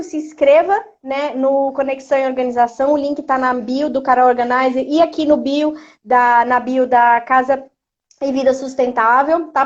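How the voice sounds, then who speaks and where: A Brazilian woman hosting a live online chat over a video call, explaining in a friendly way with frequent tag questions. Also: high-pitched. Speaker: host. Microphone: video call with headset. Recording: slightly dull video-call audio.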